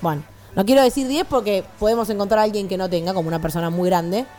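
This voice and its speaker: A woman's voice: medium pitch, quick pace, dark tone, soft background music. A young woman with a Rioplatense Argentine accent, chatting and teasing casually as a radio columnist.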